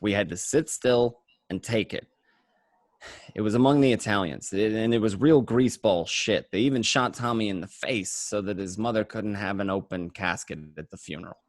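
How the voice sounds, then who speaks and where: A man acting as an excitable mobster who tells his crime story conversationally, tripping over and repeating words. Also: on the low side, normal speed, dry recording, clean studio sound, lightly acted. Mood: resigned, bitter. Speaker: amateur actor in an online radio play. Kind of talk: first-person dramatic monologue, conversational.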